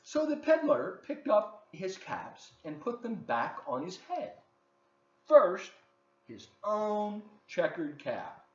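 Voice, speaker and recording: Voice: mid-range voice, wildly swooping pitch, takes it slowly, a little mellow. A children's story reader reading a picture book aloud expressively, with dramatic emphasis and asides to young listeners. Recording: roomy, dull lo-fi, faint noise.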